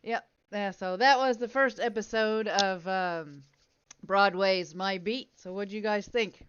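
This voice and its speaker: A woman's voice: mid-range voice, unhurried, fairly bright tone, muffled recording, a little hiss or hum. A woman hosting a casual live stream, chatting and reading show notes close to the mic. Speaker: host.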